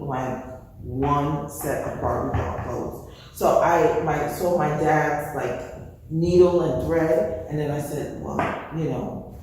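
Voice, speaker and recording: A woman from the Bronx, a fashion designer telling her story in a casual interview, animated and full of "you know" fillers. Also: low-pitched. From New York, Bronx. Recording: echoey hall from far off, faint noise.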